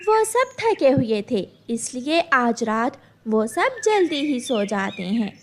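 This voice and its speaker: A woman's voice: fairly high pitch, big pitch swings, slow, a little hiss or hum. A woman narrating a scripted children's story as storyteller.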